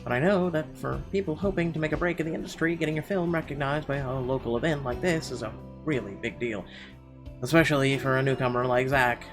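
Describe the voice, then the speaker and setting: Man reading game narration over music. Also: mid-range voice. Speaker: let's play gamer. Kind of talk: reading visual novel narration aloud.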